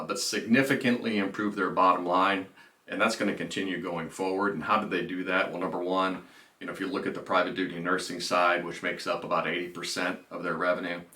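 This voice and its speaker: A man's voice: lowish voice, bright, forceful tone. A man giving businesslike financial commentary as a presenter, stressing key phrases in an informal way. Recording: roomy echo, distant microphone.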